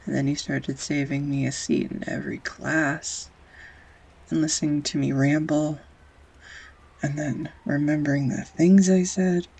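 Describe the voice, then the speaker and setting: Husky, slow-talking flustered young woman, acted. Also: deep voice, full character voice, rough recording. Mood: tender confession. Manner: halting, wry, flustered, sighs and soft laughs. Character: grumpy sarcastic young art student, shyly confessing love. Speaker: voice actor. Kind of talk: intimate romantic audio roleplay.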